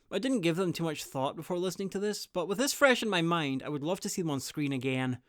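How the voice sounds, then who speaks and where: A man's voice: on the high side, fairly bright tone, smooth, no room sound, clean studio sound. A man narrating a scripted review as host of a guide to audio stories.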